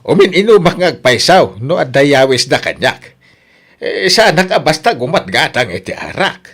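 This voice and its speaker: Casting: bickering old Ilocano dad played by a man, fond of drink, highish voice with swooping intonation, audio drama. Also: sing-song, normal speed, fairly bright tone, breathy edge, heavily acted. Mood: grumbling. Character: grumbling hard-drinking old father, bickering with his wife. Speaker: drama actor.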